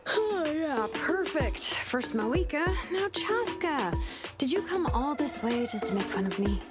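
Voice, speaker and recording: A woman's voice: high voice, sing-song, unhurried. A woman voicing an overworked, wry young female inventor in a video game, sardonic and often thinking aloud. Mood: exasperated, wry. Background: music.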